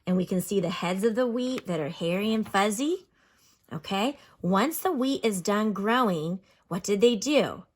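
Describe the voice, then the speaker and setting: A woman teacher reading aloud to children and explaining as she goes, with expressive, instructional delivery. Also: medium pitch, normal speed. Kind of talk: read-aloud lesson with explanations and questions to children.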